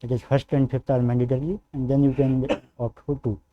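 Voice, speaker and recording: A young man from northern India, a native Hindi speaker with a North Indian accent, answering formal interview questions as a candidate in a halting, deferential way. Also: mid-range voice, flat delivery, highs cut off, a little hiss or hum. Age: young adult. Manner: hesitant, halting, deferential, often says sir. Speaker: civil service candidate in mock interview.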